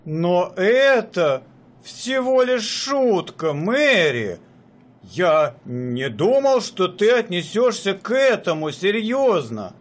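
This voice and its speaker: A man's voice: sits high, very animated, slow, exaggerated voice. A man acting a bewildered husband in a radio play, pleading his innocence. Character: flustered husband wrongly accused. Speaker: radio drama actor.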